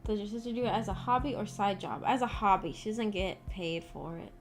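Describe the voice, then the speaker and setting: A woman hosts a casual livestream, talking to viewers at a middling pitch for a female voice. Her talk rambles along informally, like chat between friends. Music plays clearly behind her. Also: fairly quick. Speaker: livestream host chatting with viewers. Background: background music.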